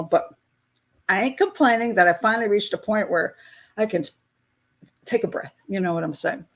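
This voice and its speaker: A woman's voice: medium pitch, vocal fry. A woman in her sixties hosting a casual live chat with her patrons, talking in a candid, chatty way. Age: 60s. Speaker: host of a crime-profiling channel.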